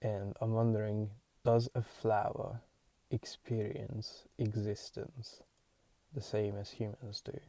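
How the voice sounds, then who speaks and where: Hungarian man musing aloud, gravelly. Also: fairly low pitch, little pitch movement, warm tone, no echo. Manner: halting, searching, thinking aloud with many restarts. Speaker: podcast host. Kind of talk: solo musing monologue.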